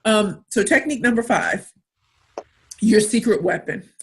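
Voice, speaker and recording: A woman from St. Louis, Missouri, with her accent clearly audible, gives a motivational workshop talk on pitching. She drives each point home by repeating short, punchy phrases. Her voice sits at an ordinary middle pitch. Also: expressive, a little slow, fairly bright tone. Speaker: pitch coach.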